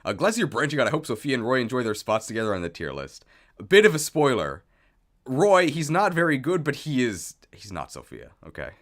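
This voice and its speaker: A man's voice: mid-range voice, wildly swooping pitch, fairly quick, a bit bright. A man hosting a livestream, chatting casually and thinking aloud in a jokey, self-deprecating way. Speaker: streamer, video host. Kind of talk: casual livestream chat while setting up.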